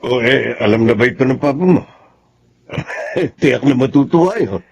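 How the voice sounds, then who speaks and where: A man's voice: mid-range voice, expressive, normal speed, vocal fry, darkish tone. A man playing a generous, fatherly older family friend in a Filipino radio drama, with a Filipino accent, on a muffled recording. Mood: warm, encouraging. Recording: muffled, faintly noisy recording.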